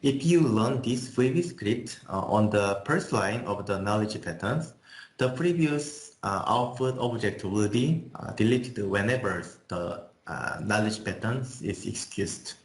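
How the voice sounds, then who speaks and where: Male technical presenter, native Korean with Korean accent, lowish pitch, careful delivery, echoey recording. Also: animated, unhurried. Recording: echoey room sound.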